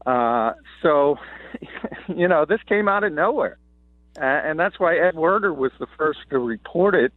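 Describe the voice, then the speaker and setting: A slightly hoarse man, an NFL columnist calling in, gives confident, opinionated analysis with many "uh" fillers. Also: fairly high pitch, expressive, unhurried, no room sound. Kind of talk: sports radio analysis by phone. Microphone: phone. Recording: tinny dry phone line.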